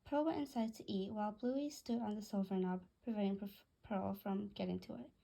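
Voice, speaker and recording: A woman's voice: mid-range voice, steady tone, a little fast, gravelly, warm tone, low-quality recording. A woman reading a story aloud, occasionally stumbling over words and correcting herself. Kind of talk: read-aloud story narration.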